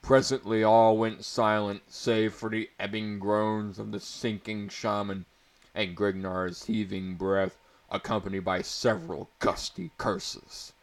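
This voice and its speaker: A man's voice: on the low side, unhurried. A man narrating a story aloud in a portentous, mock-dramatic way, with amused asides. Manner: portentous, breaking into amused commentary.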